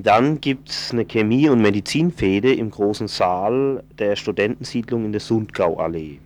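A man's voice: lowish voice, darkish tone. A man on community radio reading event listings in a loose, casual way, stumbling and correcting himself as he goes. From southwest Germany. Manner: informal, stumbling, self-correcting. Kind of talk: casual live radio announcements.

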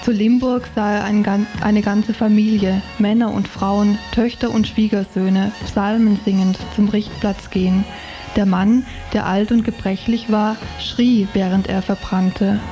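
A woman with a very mellow, dark-toned voice reads literary prose aloud. Her pitch sits in the middle range, and faint music plays behind her. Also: steady tone, measured pace. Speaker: reader of book excerpts. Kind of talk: literary reading aloud of novel excerpts.

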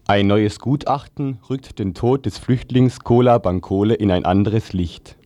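A man's voice: on the low side, measured pace, warm tone, no echo. A man reading scripted news on the radio as a newsreader. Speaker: news reader.

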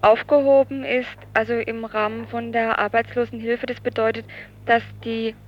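A woman's voice: fairly high pitch, flat delivery, normal speed, dull audio, slight background noise. A woman presenting and interviewing for a radio news programme, asking questions in a factual way.

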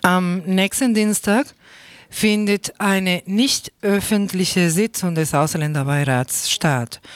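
A woman's voice: lowish voice, dry recording. A woman presenting scripted radio news.

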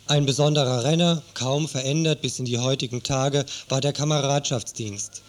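A man's voice: medium pitch, steady tone, normal speed. A man narrating a scripted radio news feature in an earnest, critical tone.

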